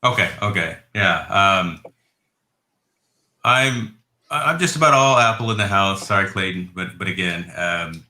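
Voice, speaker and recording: A man hosting a casual technology chat, talking in a relaxed, rambling way with frequent restarts. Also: low voice, measured pace, roomy sound. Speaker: host. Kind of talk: casual tech chat on a call.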